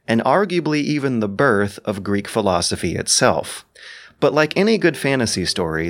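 A man's voice: on the low side, a bit bright. A man reading scripted narration as narrator and commentator.

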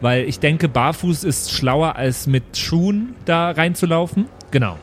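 A man's voice: fairly high pitch, a little slow, soft background music. A man playing a tabletop role-playing game, casually saying what his character does. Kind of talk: casual live tabletop play, speaking in character.

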